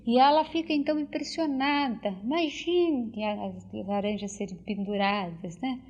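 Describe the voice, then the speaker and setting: Older são lady, slow and hesitant, keeps restarting, muffled old tape sound. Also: on the high side, darkish tone, a little hiss or hum. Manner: hesitant, searching for words with many restarts. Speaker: interviewee. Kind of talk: casual spoken reminiscence. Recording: dull lo-fi tape recording.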